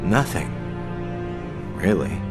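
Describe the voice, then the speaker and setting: A man's voice: deep voice, sing-song, takes it slowly, over loud music, exaggerated voice. An actor playing a troubled, weary young man in a video game, a loyal friend who speaks in a wry, terse way. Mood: wry evasive.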